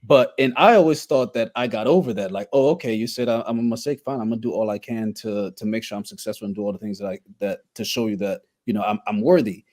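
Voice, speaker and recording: A man's voice: on the low side, quick pace, few pauses, a little mellow. A man in his thirties, born in Haiti, candidly telling his life story as a podcast guest, reflective with wry humor. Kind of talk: personal storytelling in conversation.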